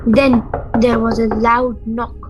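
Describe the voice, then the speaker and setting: A woman's voice: on the high side, flat delivery, slow pace, noisy background. A woman reading scripted narration for a radio play.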